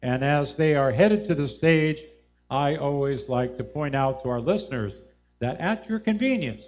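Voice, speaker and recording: A man's voice: fairly high pitch, expressive, moderate pace, a little mellow. A man hosting a live music show, casually introducing acts from the stage. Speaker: host.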